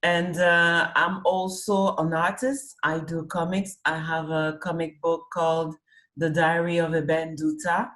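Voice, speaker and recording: A woman from Cameroon, a comics artist and festival organizer, answering interview questions over a video call, unhurried, often rephrasing as she thinks. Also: low-pitched, little pitch movement, fairly bright tone. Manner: speaks slowly and searchingly, with fillers and rephrasing. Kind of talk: remote interview, thoughtful casual answers.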